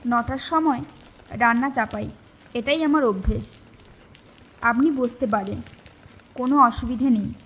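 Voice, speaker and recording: A woman's voice: a little high, unhurried, long pauses, fairly bright tone, breathy edge, poor audio. A woman playing a grave, mysterious, bookish lady in an audio horror story, speaking in a serious, formal manner. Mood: composed, polite.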